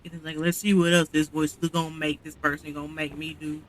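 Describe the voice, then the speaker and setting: A man's voice: a little high, flat delivery, fast talker, fairly bright tone, poor audio. A man giving casual, chatty video game commentary as a streamer. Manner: informal, chatty. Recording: game music behind.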